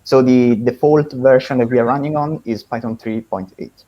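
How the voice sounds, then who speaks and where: A man giving a spontaneous technical explanation, halting often mid-sentence, over an online call. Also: mid-range voice, highs cut off. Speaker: developer answering questions.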